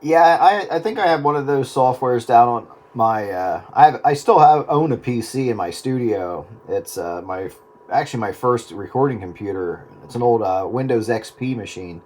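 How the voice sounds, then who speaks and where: A man talks casually with a folksy, slightly hesitant delivery, like an amateur operator in a relaxed on-air conversation. His voice sits in a middle register and comes over a two-way radio. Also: medium pitch, moderate pace. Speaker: amateur radio operator in a round-table chat.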